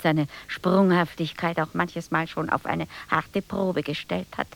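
An actress playing an indignant, dismissive dreaming housewife in a German radio play, emphatic and theatrical, dropping into hushed asides. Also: female voice, sits low, vocal fry, exaggerated voice.

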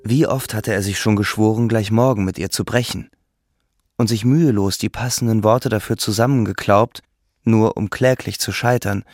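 A male narrator reads literary prose in a scripted style. His voice sits fairly low, and the recording is close and dry. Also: darkish tone, dry recording.

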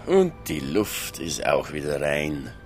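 Deep-voiced, wry Bavarian vagrant. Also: male voice, wildly swooping pitch, slow, full character voice. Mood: sardonic. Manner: wry, mock-pompous. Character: sardonic, playful small-town male vagrant. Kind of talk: naturalistic radio drama dialogue. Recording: music behind the voice.

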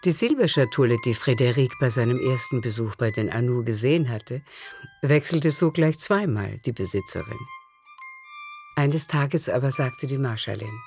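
A man narrating a literary radio play in a measured, deliberate manner. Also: medium pitch, lively intonation, darkish tone, faint music behind, close to an everyday voice.

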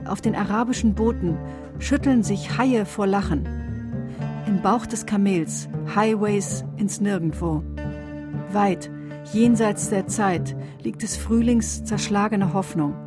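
A woman reciting poetry in a radio drama production, measured and delivered in short deliberate phrases. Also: medium pitch, lightly acted. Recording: music behind the voice. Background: music.

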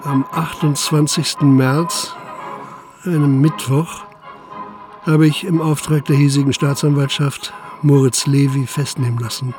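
A man acting a principled Prussian police commissioner in a radio play. His voice sits slightly high for a man, and he speaks in a formal, articulate, insistent way, as someone who will not give up on the truth. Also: fairly even intonation, unhurried, over music, natural voice. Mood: sober, resigned. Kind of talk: German radio drama acting, dialogue and first-person narration.

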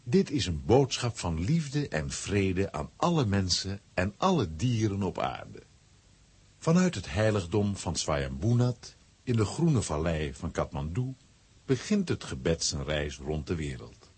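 A man narrates a children's story in a very low voice. It is strikingly husky, and the pitch moves in lively swings. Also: a little slow. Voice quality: very husky, rough.

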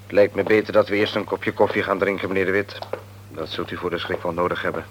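A man plays a deep-voiced office schemer in a radio drama, smug and patronising. He speaks formally over an old recording with faint noise. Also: level tone, quick pace, lightly acted. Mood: smugly polite. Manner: smooth-talking, patronising, formal. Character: smug, condescending male office rival. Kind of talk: Dutch radio play acting.

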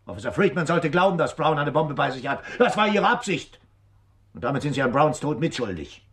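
An actor playing a stern, driven New York police captain in a German radio drama, insistent like an interrogator. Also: male voice, a little high, lively intonation, brisk, a bit bright. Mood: accusing, forceful.

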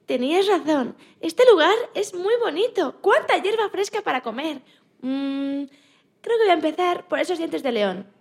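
A woman acts out a timid little bunny girl in a children's radio play. The voice is very high and creaky, childlike and plaintive, trusting like a small abandoned pet. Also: animated, moderate pace. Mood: hopeful. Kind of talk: amateur radio-play acting of a children's story.

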